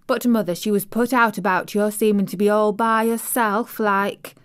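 A woman's voice: medium pitch, moderate pace, smooth, lightly acted. A woman voicing a cheerful, chatty Yorkshire servant girl in broad dialect, for an audiobook. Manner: broad dialect, lively and excited.

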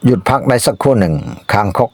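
A man telling an animal folk tale informally, in halting, repetitive phrases with spoken sound effects. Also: mid-range voice, expressive, no room sound. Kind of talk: informal, improvised animal storytelling.

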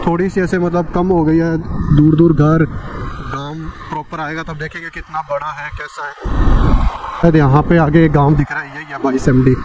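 A man giving a relaxed, casual running commentary while riding a motorcycle on rural roads. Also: a little high, normal speed. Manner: chatty, relaxed, friendly with locals. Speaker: motorcycle travel vlogger. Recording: muffled mic under loud road and wind noise.

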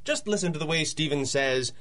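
An actor playing an earnest, patient radio-show star, coaxing and explaining in comic old-time radio style. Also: male voice, fairly high pitch, animated, fairly quick, fairly bright tone.

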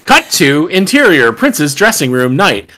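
A man with a bright, slightly high voice and very lively intonation performs script lines over a video call. He is irreverent and breaks off for joking asides. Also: a little slow. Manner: irreverent, jokey, sweary. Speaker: table-read performer. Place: home room on a group video call.

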